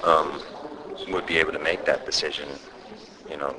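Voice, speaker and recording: A man, an actor, answering interview questions about his film in a halting, low-key way with many fillers. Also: flat delivery, rough recording. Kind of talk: casual press interview. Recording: loud crowd chatter behind.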